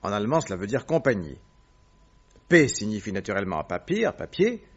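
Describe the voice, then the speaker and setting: An actor playing a self-assured, courteous detective in a French radio drama, explaining and commanding firmly on a dull old recording. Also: male voice, fairly low pitch, huge pitch swings, a little fast, husky, exaggerated voice. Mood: didactic, assured. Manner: assured, didactic, courteous but firm.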